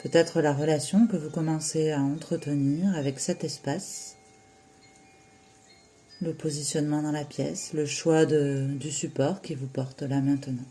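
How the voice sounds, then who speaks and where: Slow, mellow-voiced guided relaxation teacher. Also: mid-range voice, little pitch movement. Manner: soothing, unhurried, with long pauses between short phrases. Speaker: yoga nidra teacher.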